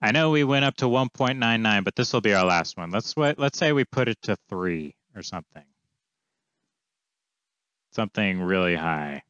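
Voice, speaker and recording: A man in his thirties with a fairly low, clean and smooth voice walks through a tutorial casually, thinking aloud. The sound is dry and close but slightly dull and lo-fi. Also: expressive, normal speed, fairly bright tone, no room sound. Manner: informal, offhand, thinking aloud. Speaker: coding tutorial presenter. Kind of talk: casual screencast walkthrough, explaining as he goes. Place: small indoor room, screen recording with webcam.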